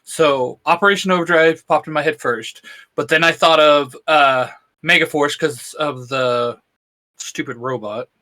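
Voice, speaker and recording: A man co-hosting a casual podcast chat, excitable and rambling, laughing often. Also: fairly high pitch, unhurried. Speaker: co-host.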